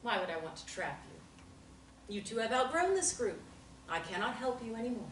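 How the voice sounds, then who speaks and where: Cool therapist type, measured and knowing, read by a woman off a distant mic. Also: a little high, very animated, roomy sound, a little hiss or hum. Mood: calm. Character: calm, knowing female group therapist. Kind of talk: table read of a play.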